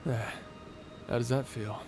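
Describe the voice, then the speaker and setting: A man voices a male space pirate captain in an intimate ASMR roleplay. He is flirty yet self-deprecating, hesitant and prone to nervous laughter. He speaks slowly at a middle pitch, with clear music behind him. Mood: self-deprecating, caring.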